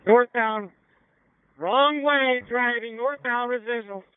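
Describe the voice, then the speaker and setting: A man's voice: extremely high pitch, slow pace, clear, resonant tone, no room sound. A man, a police officer, calling in a pursuit over two-way radio in urgent, clipped phrases. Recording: noisy narrow-band police scanner radio.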